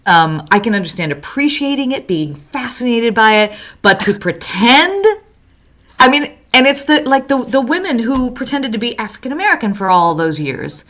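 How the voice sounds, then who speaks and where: A woman born in Mexico co-hosting a casual chat show, lively and laughing often, dropping in Spanish words. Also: fairly high pitch, normal speed. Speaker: co-host.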